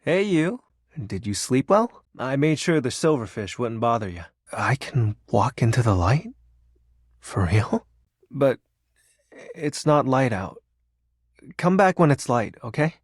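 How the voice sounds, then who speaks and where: A man's voice: medium pitch, sing-song, measured pace, slightly rough, no echo, studio quality. A man voicing the personification of darkness in a video game, a shy, sentimental, awkward self-styled shadow lord who speaks hesitantly with bursts of theatrical brooding. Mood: gentle, eager.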